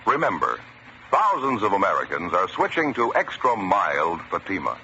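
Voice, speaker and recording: A man's voice: sits low, huge pitch swings, normal speed, pushed and bright, slight background noise. A man announcing in 1950s radio style, with punchy, emphatic scripted delivery. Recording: muffled vintage radio recording.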